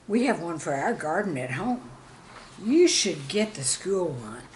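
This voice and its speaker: A woman's voice: lowish voice, sing-song, unhurried, slight background noise, rough recording. A woman storyteller voicing an earnest, cheeky schoolboy who explains his ideas emphatically and matter-of-factly. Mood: confident, advising.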